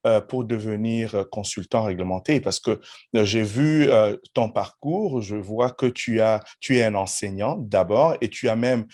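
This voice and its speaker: Mellow-voiced male podcast host. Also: lowish voice, a little slow. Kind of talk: conversational interview.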